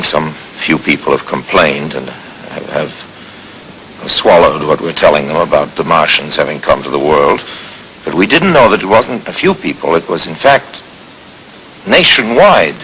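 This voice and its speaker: A man recounting a memory in a measured, articulate storytelling style. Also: extremely low pitch, bright, forceful tone, rough recording. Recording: light hiss on archive recording.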